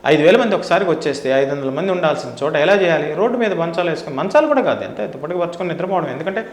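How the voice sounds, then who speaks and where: A middle-aged man speaks quickly and emphatically in a fairly high voice. He makes his points in an opinionated interview style, repeating phrases and posing rhetorical questions. The room adds noticeable echo. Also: animated. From India. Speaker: interview guest, former air force officer. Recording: roomy, echoing indoor sound.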